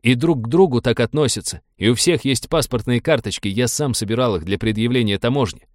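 A man voicing a gruff, irritable captain who speaks in curt commands in an audiobook. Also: mid-range voice, a little fast, no room sound, studio quality, pitched above the performer's own voice, close to an everyday voice. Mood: insistent.

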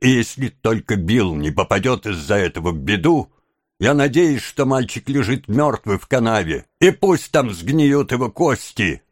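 A man's voice: animated, moderate pace, hardly any pauses, dry recording, studio quality. A man voicing a bitter, defiant young woman of a thieves' gang in an audiobook, emotional and taunting. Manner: emotional, taunting, raised higher than the narration. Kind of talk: dramatised audiobook character.

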